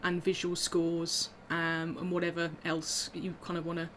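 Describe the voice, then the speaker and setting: A woman's voice: fairly low pitch, completely flat delivery, normal speed, a bit bright, slight background noise. Chatty woman musician on a video call. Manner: chatty, self-deprecating, frequent ums and laughs. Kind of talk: casual instrument demonstration on a video call.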